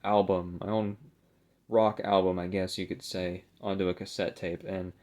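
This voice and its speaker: A man's voice: low-pitched, level tone, moderate pace, darkish tone. A young adult man chatting casually and unscripted for a video, rambling and hesitant, with a self-deprecating manner. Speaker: video creator showing a collectible. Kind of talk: casual unscripted vlog chat.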